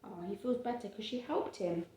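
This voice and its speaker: A woman's voice: medium pitch, animated, moderate pace, dark tone, echoey room, a little hiss or hum. A woman reading a picture book aloud to a child, stopping to explain the pictures simply and acting out the quotes. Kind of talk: storytime read-aloud with asides to a child.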